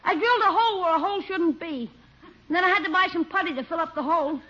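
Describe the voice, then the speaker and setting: Shrill, cheeky schoolboy on old radio. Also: male voice, quick pace, sharp tone. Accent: American. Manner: cheeky, slangy, exclamatory. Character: wisecracking, eager schoolboy nephew, American. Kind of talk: 1950s radio comedy acting. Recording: dull, noisy old radio recording.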